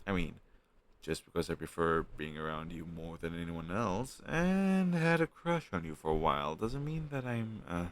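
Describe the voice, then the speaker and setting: A man's voice: sits low, very animated, a little hiss or hum. A man playing a flustered young man shyly hiding a crush on his best friend, speaking hesitantly and sheepishly in an intimate audio roleplay. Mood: flustered, confessional. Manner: hesitant, sheepish, halting conversational delivery. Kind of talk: intimate one-sided conversational audio roleplay.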